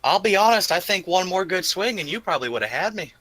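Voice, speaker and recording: A man's voice: high-pitched, lively intonation, quick pace, pushed and bright, slight background noise, voice pushed up. A man voicing a confident, plain-spoken young fighter hungry for battle in a tabletop game. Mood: bold, frank.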